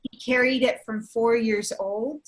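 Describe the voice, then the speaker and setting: A woman hosting a video call, speaking in an earnest, deliberate way. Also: a little high, steady tone, low-quality recording. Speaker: host. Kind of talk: casual chat.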